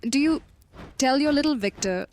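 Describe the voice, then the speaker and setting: A woman's voice: high-pitched, lively intonation, a little mellow. Woman acting a commanding yet bashful vampire mistress. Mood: coy. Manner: halting, flustered between imperious orders. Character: commanding vampire mistress, secretly shy and fond. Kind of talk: fantasy audio drama acting.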